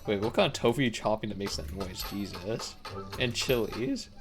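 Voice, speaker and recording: A man's voice: on the low side, normal speed. A man giving casual live gameplay commentary as a streamer, reacting wryly with exclamations. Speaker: game streamer. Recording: game music under the voice. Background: game music with plucked strings.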